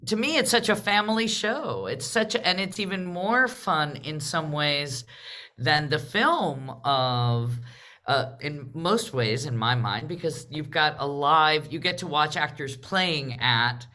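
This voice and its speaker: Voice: mid-range voice, lively intonation, measured pace, bright, forceful tone. A host moderating a live theatre discussion in a conversational way, prompting others with questions.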